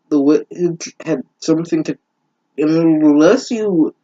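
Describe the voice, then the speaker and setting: A man doing a comedic story reading with halting, sarcastic, exasperated commentary and plenty of swearing. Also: mid-range voice, mellow. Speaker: host reading and mocking fan fiction. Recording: dull lo-fi home recording.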